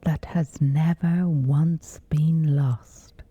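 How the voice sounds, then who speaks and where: A woman softly guiding a scripted sleep meditation in a breathy, gentle, soothing hush with long pauses. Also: deep voice, steady tone, dull audio. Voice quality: breathy, whispery soft.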